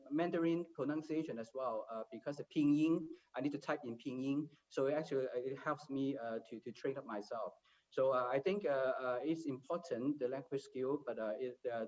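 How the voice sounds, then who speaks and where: A man on a career panel, speaking haltingly with many filler sounds. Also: mid-range voice. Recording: distant muffled remote audio.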